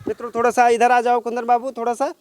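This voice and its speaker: A man's voice: very high voice, monotone, fast talker, no echo, clean studio sound. A man from presenting a village news report outdoors at a primary school, speaking in a formal, flowery style.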